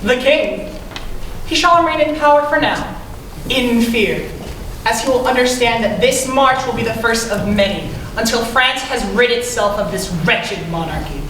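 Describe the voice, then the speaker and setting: A woman's voice: low-pitched, very animated, measured pace. A young woman playing a stern, calculating revolutionary in a student stage play. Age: young adult. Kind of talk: high school stage play acting. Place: school theatre stage, distant microphone. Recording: echoey stage from far off, faint noise.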